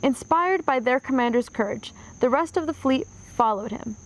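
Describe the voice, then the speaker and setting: A woman narrating a children's history book aloud with clear, expressive storytelling. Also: high voice, normal speed.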